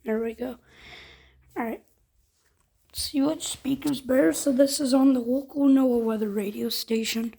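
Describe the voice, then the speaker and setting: A woman's voice: high voice, fairly even intonation, normal speed, vocal fry, pauses often, warm tone, breathy edge. A woman reviewing products in a casual demonstration, explaining things informally step by step.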